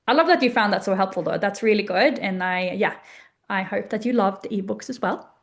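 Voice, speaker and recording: A woman coach running a live online workshop over a video call, gushing and encouraging as she pitches her program. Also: brisk.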